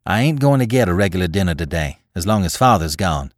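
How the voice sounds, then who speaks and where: A man's voice: sits low, animated, quick pace, no room sound, clean studio sound. A man voicing a firm, plain-spoken, middle-aged farm mother with homely rustic speech in an audiobook story. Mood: calm, firm.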